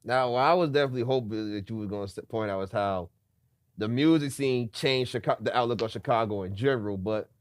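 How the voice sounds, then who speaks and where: A man hosting a casual interview in a relaxed, slangy way, restarting his questions as he goes. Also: mid-range voice, fast, no echo, studio quality. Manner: relaxed, slangy, repeats himself as he asks questions. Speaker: podcast host.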